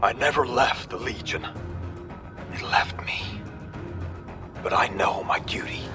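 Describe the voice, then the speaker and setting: A man playing a grim, proud, melodramatic alien soldier in an action film within a video game, with a gravelly voice. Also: mid-range voice, a little slow, over music, natural voice. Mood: grim resolve.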